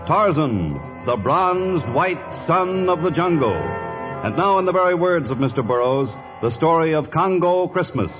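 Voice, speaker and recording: A man's voice: a little high, sing-song, measured pace. A man announcing a 1950s radio adventure show in a grand, theatrical scripted style. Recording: dull old radio recording, music behind.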